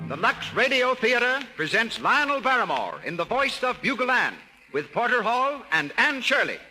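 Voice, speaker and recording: Male 1930s radio announcer, formal and high-voiced, loud music underneath on a vintage broadcast. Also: big pitch swings, normal speed, poor audio.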